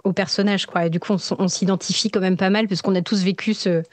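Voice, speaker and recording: A woman's voice: mid-range voice, fairly even intonation, fast talker, no echo. Woman podcast host in dry sound. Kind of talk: casual interview chat.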